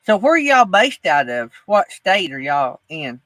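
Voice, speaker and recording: Male livestream host, Southern US accent, high pitched, dry recording, informal chat. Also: big pitch swings, measured pace, a bit bright, no echo. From northeast Alabama, United States. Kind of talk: casual chat.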